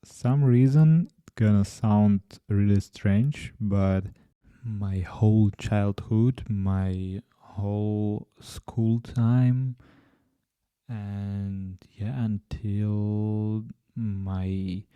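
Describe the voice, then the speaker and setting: Slow, mellow Ukrainian-accented man. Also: fairly low pitch, fairly even intonation, smooth, dry recording, highs cut off. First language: Ukrainian and Russian. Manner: halting, many pauses and restarts, non-native grammar. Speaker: podcast host. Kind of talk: casual solo podcast talk.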